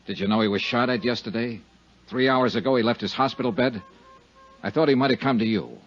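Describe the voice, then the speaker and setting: An actor playing a tough, direct insurance investigator and narrator in a 1950s radio drama. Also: male voice, medium pitch, brisk, soft background music, natural voice. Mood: persistent, earnest. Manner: clipped, direct. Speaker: lead and narrator. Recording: muffled 1950s radio recording.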